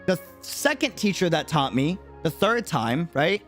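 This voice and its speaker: High-pitched, animated male livestreamer. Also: measured pace, soft background music. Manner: conversational, earnest, explaining by examples. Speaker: game livestreamer. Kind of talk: casual livestream commentary.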